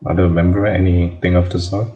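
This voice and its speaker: Teen man, Indonesian native speaker, very low voice, halting podcast guest, faint noise behind him. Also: little pitch movement, warm tone, muffled recording. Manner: halting, searching for words. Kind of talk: casual podcast chat.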